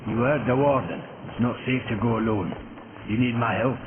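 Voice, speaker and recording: A man's voice: mid-range voice, normal speed, natural voice. A man playing a hearty, plain-spoken fantasy maker in a video game, earnest and emphatic. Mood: urgent. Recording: tinny band-limited game audio, heavy noise.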